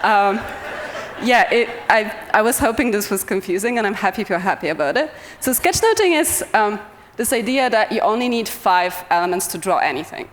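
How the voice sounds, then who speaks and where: A woman's voice: mid-range voice, animated, brisk, a little hiss or hum. A young woman with a Polish accent talks to a conference audience over a microphone. She sounds cheerful and self-deprecating and laughs often. Her voice carries a long reverb from the hall. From Poland. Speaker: conference speaker. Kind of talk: conference talk.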